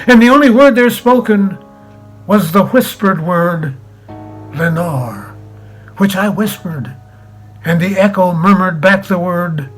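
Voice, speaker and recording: High-pitched man theatrically reciting a poem. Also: breathy edge. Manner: expressive, dramatic, swelling and hushing. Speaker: poetry reader. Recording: music under the voice. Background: background music.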